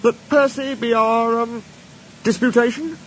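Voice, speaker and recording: A man's voice: very high-pitched, fairly even intonation, measured pace, slight background noise, voice pushed up, low-quality recording. A man voicing a big, pompous male express engine who grumbles.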